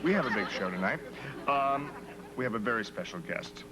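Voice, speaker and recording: An actor playing a smarmy, dryly sarcastic talk show host who speaks in a smooth, mock-sincere way on a 1970s satirical show. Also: male voice, on the low side, lively intonation, a little fast, lightly acted. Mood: wry. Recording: noisy 1970s TV tape.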